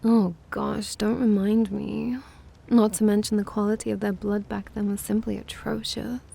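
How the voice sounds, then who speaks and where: A woman's voice: mid-range voice, little pitch movement, normal speed, gravelly, dark tone, husky. A woman playing a playful, condescending vampire mistress who looks young, drawling languidly in an ASMR roleplay. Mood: wry, reminiscing.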